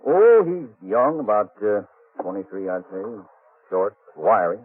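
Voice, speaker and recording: A man's voice: lowish voice, sing-song, measured pace. A man with an American accent playing a friendly, confident frontier sheriff in a radio western, folksy and plainspoken. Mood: determined. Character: friendly, confident Old West sheriff leading a posse. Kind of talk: 1950s radio western acting. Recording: tinny, muffled vintage radio recording.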